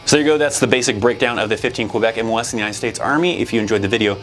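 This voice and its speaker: A man's voice: medium pitch, racing, a bit bright, soft background music. A man hosting an informational explainer video in a conversational style, addressing viewers directly. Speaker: video host.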